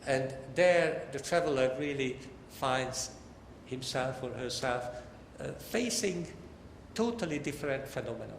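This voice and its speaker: An older man giving a technical academic lecture in a halting, deliberate way. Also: medium pitch, animated. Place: large lecture hall. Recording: echoey hall with long reverb, background noise.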